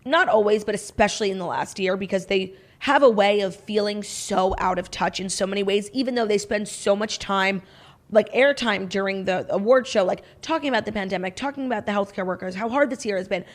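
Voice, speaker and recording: Hoarse-ish woman podcast cohost, casual chatty, says like a lot, pretty frank. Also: medium pitch, a little fast. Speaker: podcast co-host. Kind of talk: casual pop culture chat.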